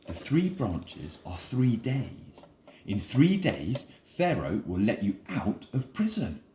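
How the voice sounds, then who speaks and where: Man acting earnest prisoner, slow, swooping pitch. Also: sing-song, warm tone, roomy sound, slight background noise. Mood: slow, confident. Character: Joseph, earnest young male prisoner interpreting dreams.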